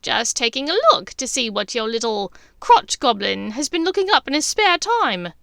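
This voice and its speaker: A woman voicing a middle-aged dark witch, sneering, taunting and sharp, in a storybook reading. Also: a little high, lively intonation, moderate pace, creaky, dry recording, studio quality. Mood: sneering, mocking.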